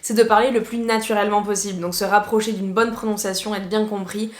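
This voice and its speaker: A woman from southwest France with a southwestern French accent, giving a casual video lesson as an English teacher, chatty and lively, slipping English phrases in. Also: mid-range voice, quick pace, echoey room.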